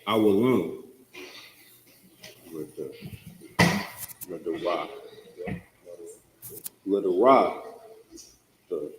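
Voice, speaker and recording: A man with a very deep voice reads scripture aloud at an extremely slow pace, halting and restarting on unfamiliar words. He sounds distant, caught in a roomy hall with long reverb and faint noise. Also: animated. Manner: halting, stumbling reading of Hebrew words. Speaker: congregation member reading scripture aloud. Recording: echoey hall from far off.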